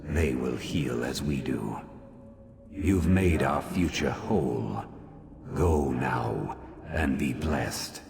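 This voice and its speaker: An ancient tree spirit in a fantasy game. The voice is extremely low and gravelly, very slow and solemn, kindly in its gravity, drenched in long reverb over loud music. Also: male voice, heavy background noise, exaggerated voice. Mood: solemn, benevolent. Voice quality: deep, gravelly. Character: ancient dreaming tree hive-mind, solemn and benevolent. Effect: reverberant echo.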